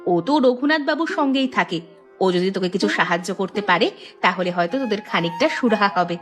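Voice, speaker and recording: A woman's voice: mid-range voice, animated, fairly quick, natural voice. Woman voicing a kindly village aunt, music behind. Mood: tender, reassuring. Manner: affectionate, reassuring. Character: kindly motherly village aunt, protective and reassuring. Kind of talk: cartoon dialogue.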